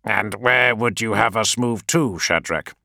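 A dryly courteous adviser who weighs every word and keeps a mock-sober tone, played by a male narrator. The sound is bright, close and dry, like an audiobook booth. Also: fairly low pitch, gravelly, no echo, pitched above the performer's own voice, exaggerated voice. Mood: careful. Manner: measured, mockingly sober, deliberate.

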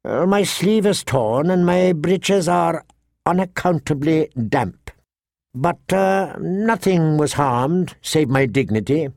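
A man's voice: a little high, expressive, takes it slowly, creaky, a little mellow, dry recording, voice pushed up, exaggerated voice. Give the storybook's little dwarf nobleman , acted by a male reader, a dry and sardonic tongue. He speaks formally and wryly, with great dignity, lifted a bit above plain narration in a close, dry recording. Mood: dry, unruffled. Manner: dry, wry, formal speech. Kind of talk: audiobook character acting.

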